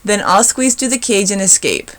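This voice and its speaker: A woman's voice: mid-range voice, expressive, moderate pace, fairly bright tone, close to an everyday voice. A woman voicing a timid but imaginative little boy in a storybook, in an earnest, childlike way. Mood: plucky.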